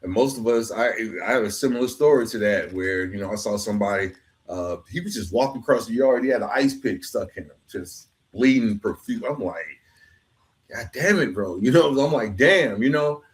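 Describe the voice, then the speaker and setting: A man's voice: lowish voice, lively intonation, moderate pace. A man hosting a reflective long-form interview, mixing conversational street slang with rhetorical, motivational phrasing. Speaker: podcast host.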